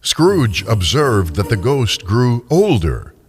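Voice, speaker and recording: Older man, dramatic storybook narration, lively pitch swings, deliberate phrasing, long reverb tail. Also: faint music behind.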